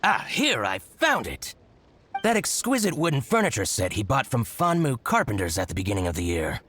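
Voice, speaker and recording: An actor playing a scheming, spiteful shopkeeper in a video game, with exaggerated, exclamatory, gloating delivery. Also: male voice, medium pitch, huge pitch swings, normal speed, fairly bright tone, a little hiss or hum. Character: scheming, theatrical male shopkeeper, gloating and spiteful toward rival.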